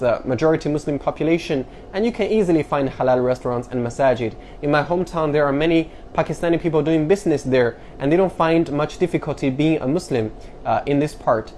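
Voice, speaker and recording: A man's voice: medium pitch, a little mellow, slight background noise. A man from China, a YouTuber earnestly explaining a numbered list and stressing each new point. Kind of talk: explainer vlog counting down a list.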